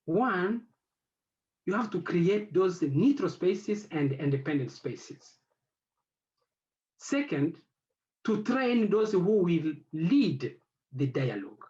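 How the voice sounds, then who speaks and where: A man whose first language is French speaks on an academic panel. His voice sits a little high and moves a lot in pitch. He pauses and searches for words, and the recording sounds dull and lo-fi. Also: very animated, darkish tone. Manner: halting, searching for words with many fillers. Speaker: head of an institute.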